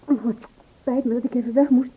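A woman's voice: a little high, steady tone, fairly quick, narrow, tinny audio, background noise, lightly acted. An actress playing an apologetic, familiar woman in a radio play. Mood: apologetic, concerned.

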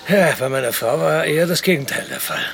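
A man's voice: on the high side, brisk, vocal fry, fairly bright tone, a little hiss or hum. An actor playing a put-upon, kindly servant in his fifties in a radio play, with a polite, hesitant, earnest manner. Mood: friendly, bashful. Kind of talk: naturalistic radio play acting.

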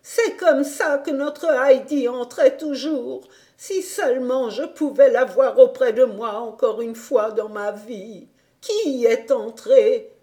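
A woman's voice: a little high, takes it slowly, mellow, voice pushed up. A woman voicing an old, blind, tender grandmother in a storybook reading, speaking in a trembling, pleading way. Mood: yearning.

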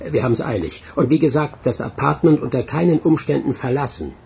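An actor playing a bossy crime gang leader in a radio drama, curt and commanding, amid noisy ambience. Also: male voice, medium pitch, brisk, gravelly, dark tone, natural voice. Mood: controlled.

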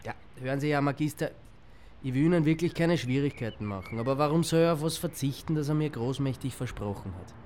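A man's voice: mid-range voice, fast, a little mellow, natural voice. An actor with an Austrian accent playing a self-important, sarcastic man who grumbles in a radio drama. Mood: aggrieved. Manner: indignant, sarcastic.

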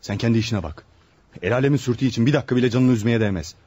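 A man's voice: mid-range voice, racing, warm tone, husky, lightly acted. An actor playing a brusque, cynical male lawyer in a radio play, scolding and insistent with his friend. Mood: dismissive. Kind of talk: radio theatre acting.

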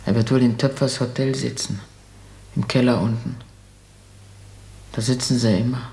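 A woman's voice: extremely low pitch, fairly even intonation, moderate pace, dark tone. An actress playing an anxious, gossipy old mother in a German radio play, with a fretful, rambling, homely way of talking. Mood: resigned grumbling. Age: older.

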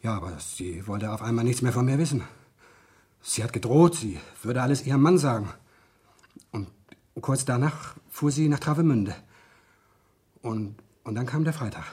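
An actor playing a cornered, guilt-ridden office clerk in a 1970s German radio crime drama, speaking in a halting, pleading manner. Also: male voice, medium pitch, lively intonation, a little mellow, breathy edge. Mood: remorseful, confessing.

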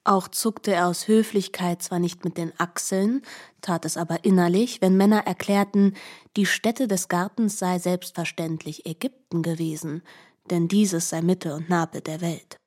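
Lowish-pitched woman, formal literary narrator. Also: fairly even intonation, darkish tone. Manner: measured, formal storytelling. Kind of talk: scripted literary narration for radio drama.

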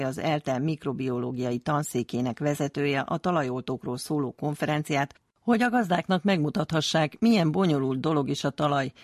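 A man's voice: on the high side, dry recording, studio quality. A male radio presenter reading scripted narration that links interviews.

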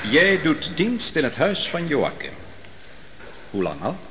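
A man's voice: on the high side, huge pitch swings, slow pace, fairly bright tone, slight background noise, exaggerated voice. An actor playing a bold, righteous man who cross-examines witnesses in a biblical radio drama, commanding and probing. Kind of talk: Dutch radio drama acting. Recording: long hall reverb.

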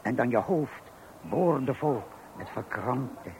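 A man's voice: mid-range voice, lively intonation, slow pace, darkish tone. An actor playing a worldly man in his fifties in a radio play, lecturing in an emphatic, patronising way. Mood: warning, emphatic. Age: 50s. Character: worldly, lecturing fifty-year-old colleague and father of many. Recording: noisy old radio play recording.

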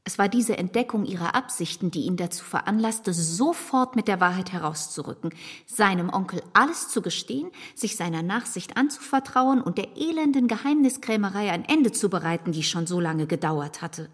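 A woman playing a kindly, conciliatory friend and confidante in a period radio drama, reasoning gently in formal language. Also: mid-range voice, lively intonation, normal speed, husky. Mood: earnest. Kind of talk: radio drama acting of a literary classic.

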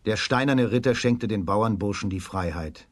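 A man narrating a scripted fairy tale for a children's radio play in a measured storytelling manner. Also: lowish voice, no room sound, studio quality.

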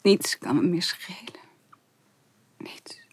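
An actress playing a despairing, quick-tempered young woman in a period radio drama, speaking in an anguished, halting way that often nears a whisper. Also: lowish voice, dry recording, exaggerated voice. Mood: despairing, confessional. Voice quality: husky, breathy. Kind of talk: Dutch radio play acting.

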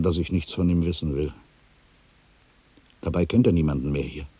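An older man playing an ageing, bitter writer in a literary radio drama monologue, musing theatrically with rhetorical questions and pauses. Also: rumbling low, warm tone, breathy edge, poor audio. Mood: brooding. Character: ageing male writer, brooding, bitter, addressing his past.

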